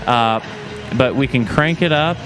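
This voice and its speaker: A man's voice: mid-range voice, a little slow, poor audio. Brief: male co-host, casual stream chat, loose and rambling, music playing behind. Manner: rambling, stumbling over words.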